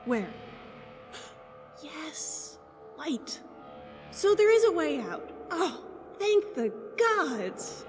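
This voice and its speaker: A woman's voice: high voice, big pitch swings, very slow, pauses often, music in the background, heavily acted. A woman playing a bitter, exhausted, foul-mouthed young female spellcaster in a fantasy audio drama, emotional and scornful. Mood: tearful relief. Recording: long cavernous reverb.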